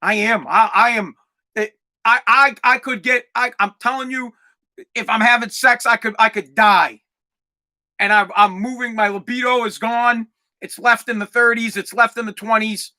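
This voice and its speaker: A man in his forties hosting a comedic solo podcast, ranting in a profane, rambling way with lots of stammered restarts and bursts of shouting. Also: extremely high pitch, fairly even intonation, moderate pace. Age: 40s. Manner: exaggerated, swings into loud shouting. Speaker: podcast host.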